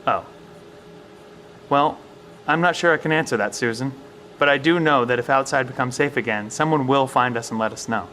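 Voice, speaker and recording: Actor as earnest bunker professor, male, mid-pitched, measured and explanatory, faint background noise. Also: sharp tone, lightly acted. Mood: cautiously hopeful. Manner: explanatory, hesitant, reasoning. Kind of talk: audio drama acting.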